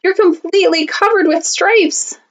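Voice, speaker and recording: A woman's voice: very high voice, normal speed, pitched above the performer's own voice. A woman reading a storybook, voicing an alarmed, bossy, fussing mother with exclamations. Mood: shocked.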